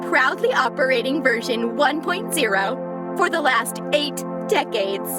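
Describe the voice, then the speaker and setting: A woman acts as a high-voiced, animated computer guide for a digital afterlife. It is prim, naive and painfully literal, speaking in stiff, formal sentences for a scripted comedy drama, with clear music behind it. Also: a little slow. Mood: proudly formal. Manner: formal, stilted wording without contractions.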